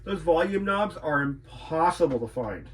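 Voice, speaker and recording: A man's voice: a little high, animated, moderate pace, breathy edge. A man working as a repairman and hosting his own vlog, talking casually and thinking aloud as he works. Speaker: radio repairman and video host.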